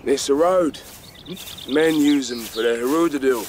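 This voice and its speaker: An actor playing a bold, gruff rabbit, the brash fighter of his group, in a radio drama. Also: medium pitch, slow, slight background noise, close to an everyday voice. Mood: cocky, reassuring. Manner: brash, colloquial, cocky.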